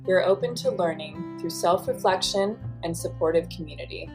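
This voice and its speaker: Calm woman reading a show intro. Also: on the low side, fairly quick. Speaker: podcast intro narrator. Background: faint guitar music.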